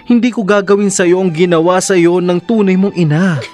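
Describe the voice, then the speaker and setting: An actor plays a devoted Filipino boyfriend in a radio drama. His fairly high male voice is earnest and reassuring, pleading with emphasis over faint music. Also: fairly even intonation, moderate pace, a little mellow, natural voice. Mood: pleading, impassioned. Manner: earnest, emphatic, mixes in English.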